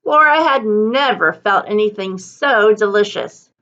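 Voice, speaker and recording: Bright, slow-reading woman storyteller. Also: medium pitch, expressive, dull audio. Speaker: teacher reading a book aloud. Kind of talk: expressive children's story narration.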